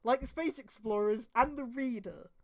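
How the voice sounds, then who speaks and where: A woman's voice: a little high, normal speed. A woman streamer reading aloud and adding exasperated, sweary mocking commentary.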